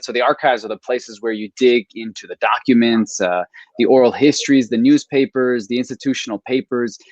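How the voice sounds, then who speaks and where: Male history professor, 30s, from Milwaukee; earnest and measured, mid-pitched, dry close sound over a video call. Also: no echo, lo-fi sound. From Milwaukee, Wisconsin, US. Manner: articulate, earnest, measured with frequent fillers. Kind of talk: guest on a video call talk.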